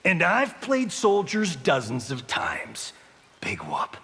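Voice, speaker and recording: Cocky film-star brawler for a game: slow smug drawl, lively pitch, some fry, noisy backing. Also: male voice, full character voice. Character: cocky, flippant, vain male movie-star fighter. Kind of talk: video game character dialogue.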